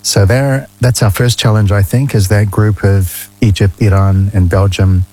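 A man answers interview questions in a radio studio. His voice is on the lower side and his delivery is measured and friendly. He explains things at length in full sentences. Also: fairly even intonation, mellow, husky, rough recording. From New Zealand. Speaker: ambassador guest.

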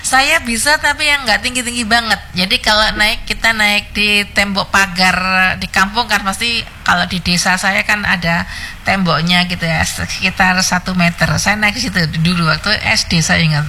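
Chatty woman radio host bright voice. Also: medium pitch, steady tone, normal speed, a little hiss or hum. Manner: chatty, laughs often. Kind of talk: casual call-in radio chat.

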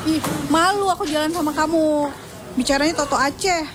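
A woman's voice: sits high, normal speed, close to an everyday voice. A woman playing a young female student who bluntly refuses and brushes others off. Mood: scornful. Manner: loud, sharp refusals. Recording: distant voice with loud background noise.

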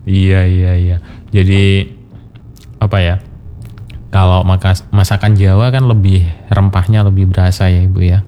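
A radio host chats easily with callers, filling pauses with soft hums and little laughs. His voice is low-pitched and mellow. A faint hiss sits under the studio sound. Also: flat delivery. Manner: chatty, lots of soft hums and chuckles. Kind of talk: casual call-in radio chat.

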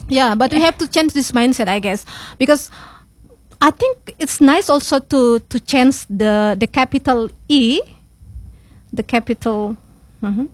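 A woman with an Indonesian accent, a radio guest and English teacher, speaking in halting English with many restarts and fillers, and giggling often. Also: high-pitched, no echo. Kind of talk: casual radio chat.

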